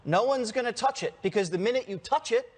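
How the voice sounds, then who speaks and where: A man's voice: very high voice, expressive, fairly quick. An American man giving opinionated political commentary on a TV panel show, with a sardonic way of talking.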